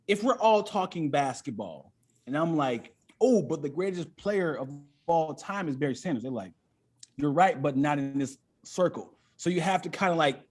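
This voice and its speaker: A man talking as a comedian guest on a podcast, casual and profane, often repeating words as he finds his way into a sentence. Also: fairly high pitch.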